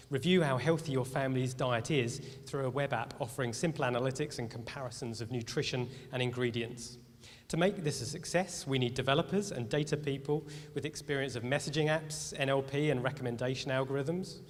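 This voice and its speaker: A man at an everyday pitch runs through a well-practised product pitch. His delivery is steady and scripted, and a long hall reverb hangs behind it. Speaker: startup pitcher. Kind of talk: rehearsed pitch.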